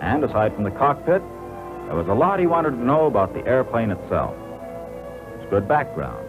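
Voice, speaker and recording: An actor playing a seasoned, avuncular American air force narrator in a 1940s training film, with a wry, folksy, knowing manner. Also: male voice, medium pitch, sing-song, moderate pace, music in the background. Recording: tinny old film soundtrack.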